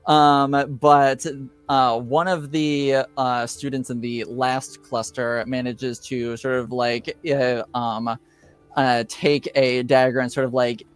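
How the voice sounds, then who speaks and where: A man improvising narration as a game master in a tabletop roleplaying game, halting and hesitant with many filler pauses. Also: medium pitch, level tone, clean tone, faint music behind.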